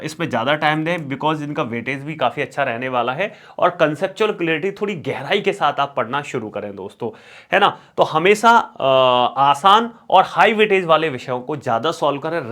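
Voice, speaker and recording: A man's voice: a little high, lively intonation, a little fast, a bit bright. A man teaching as an exam coach, instructional, repeating key words for emphasis and mixing in English terms. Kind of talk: exam strategy lesson with course promotion.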